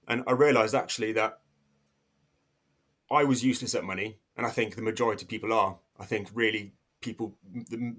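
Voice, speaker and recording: A man's voice: fairly low pitch, a bit bright. A man in his thirties who grew up in South Africa but now sounds English, talking casually as a podcast guest with frequent fillers and restarts. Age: 30s. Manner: hesitant, many restarts and fillers. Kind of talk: casual interview talk.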